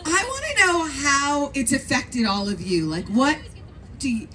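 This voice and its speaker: Brief: bubbly panel moderator, a woman with a loud voice, slightly high, faint hall noise. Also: huge pitch swings, measured pace. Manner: loud, bubbly, swears freely. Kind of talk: live convention panel.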